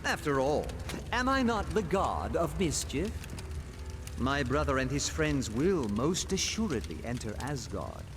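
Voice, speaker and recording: Theatrical, scheming trickster god. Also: male voice, medium pitch, big pitch swings, a little slow, noisy background. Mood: smug, gloating. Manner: theatrical, formal archaic phrasing. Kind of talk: villain acting in a superhero game.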